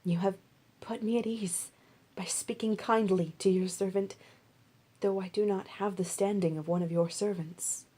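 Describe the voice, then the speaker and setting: A woman's voice: mid-range voice, steady tone, normal speed, dark tone, slightly rough, natural voice. A woman voicing a humble, grateful young widow in a Bible story, deferential and earnest.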